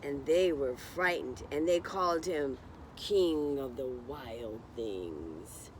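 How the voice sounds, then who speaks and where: A woman's voice: rumbling low, animated, takes it slowly, a little hiss or hum. A woman reading a picture book aloud to young children, expressively, with encouraging, chatty explanations along the way. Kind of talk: slow children's read-aloud with chatty asides to listeners.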